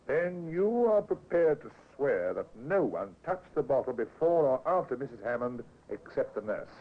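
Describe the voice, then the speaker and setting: A man acting a brisk, authoritative Victorian police inspector in a 1950s radio drama, questioning formally. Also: medium pitch, sing-song. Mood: patient questioning. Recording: thin, phone-like old radio recording, noisy.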